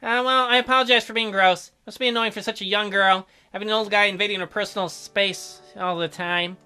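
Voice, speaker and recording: Man playing a bright, playful, bashful male doctor. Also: high-pitched, fairly even intonation, fairly quick, smooth. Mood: light, apologetic. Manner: light, teasing, hesitant. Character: playful, easily embarrassed older male doctor. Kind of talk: visual novel character read aloud. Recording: soft music behind the voice.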